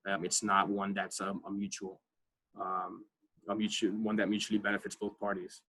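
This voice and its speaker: Bright-toned man leading an online class. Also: lowish voice, monotone, normal speed, husky, rough recording. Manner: conversational, many fillers, frequent "right?" checks. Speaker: college advising workshop presenter. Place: online video call.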